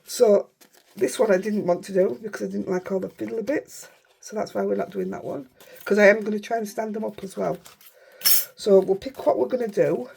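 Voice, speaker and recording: A middle-aged woman with a Yorkshire accent, hoarse and unwell, chatting through a casual crafting tutorial in a croaky voice and rambling as she goes. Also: medium pitch, dark tone. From Yorkshire, England. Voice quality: croaky, rough voice. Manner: chatty, rambling, self-interrupting. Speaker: craft channel host.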